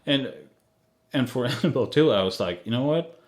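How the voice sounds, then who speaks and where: A man's voice: medium pitch, lively intonation, fairly quick, a little mellow. A man with a Swedish accent, a film director talking casually through a commentary track, hesitant with many fillers and restarts. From Sweden.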